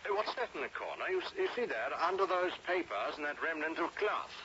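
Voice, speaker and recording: An actor playing a talkative, bantering British colonial gentleman in a radio drama, with a hearty manner. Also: a little high, sing-song, fairly quick. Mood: puzzled, chiding. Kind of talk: old-time radio drama acting. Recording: muffled, noisy old radio drama tape.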